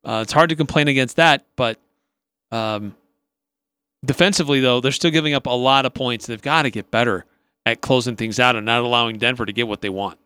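A male sports radio co-host breaks down a game off the cuff in a bright, crisp voice. He is halting as he thinks aloud, recorded close and dry. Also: mid-range voice, no echo. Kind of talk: off-the-cuff basketball analysis.

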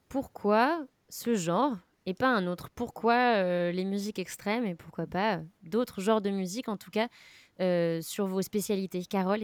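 A woman's voice: medium pitch, no room sound. A woman hosting a podcast, putting questions to her guests in a casual way. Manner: asks questions, friendly. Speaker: podcast host.

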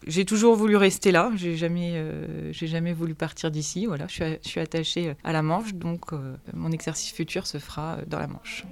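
Young French woman, slightly low voice. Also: brisk, gravelly, few pauses. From Normandy, France. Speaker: general practice trainee doctor being interviewed. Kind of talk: casual interview answers.

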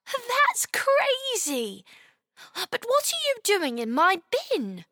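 A woman voicing a curious, excitable little girl in a children's story, exclaiming and asking wide-eyed questions. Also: very high voice, takes it slowly, dry recording, clean studio sound, voice pushed up, full character voice.

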